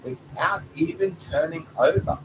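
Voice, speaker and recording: A man's voice: on the high side, measured pace. A man reading a children's story aloud as a father does for his child. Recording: noisy home recording, clear background noise.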